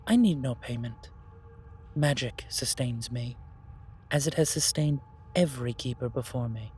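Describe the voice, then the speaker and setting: Casting: male actor for grave guardian of magic, audio drama; stately, formal, mid-pitched, light background ambience. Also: lively intonation, darkish tone, slight background noise, lightly acted. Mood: calm, wise. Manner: formal, stately, deliberate pronouncements. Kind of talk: fantasy audio roleplay acting.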